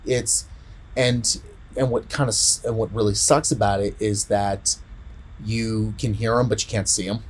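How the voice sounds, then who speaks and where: A man in casual podcast chat, retelling a story in a rambling, halting way and often repeating words. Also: on the low side, steady tone, slightly rough, poor audio. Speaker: podcast co-host.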